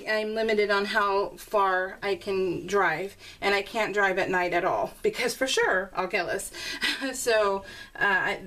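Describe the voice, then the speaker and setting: A woman's voice: medium pitch, fairly bright tone, rough recording. A middle-aged woman chatting casually and rambling while she works on a scrapbook layout, laughing often. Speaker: scrapbooking video creator. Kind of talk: casual voice-over while crafting.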